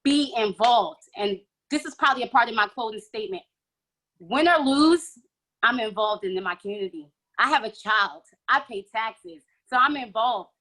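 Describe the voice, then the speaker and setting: Casting emphatic woman candidate, higher bright voice, punchy lines. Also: expressive, normal speed, low-quality recording. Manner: emphatic, short punchy sentences. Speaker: school board candidate. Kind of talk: forum answer. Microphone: video call.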